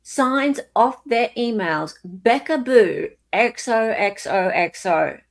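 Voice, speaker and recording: A woman's voice: on the high side, expressive, slow, gravelly. An Australian woman hosting a casual live panel chat, speaking with an Australian accent.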